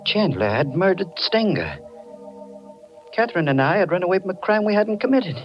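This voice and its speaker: A guilt-ridden fugitive in a 1940s radio mystery. His mid-pitched voice is tense and swings dramatically. The old recording is muffled, with music behind. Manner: tense, dramatic, halting under pressure. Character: anxious, guilt-ridden male fugitive narrating his own nightmare. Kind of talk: 1940s radio mystery acting, first-person narration.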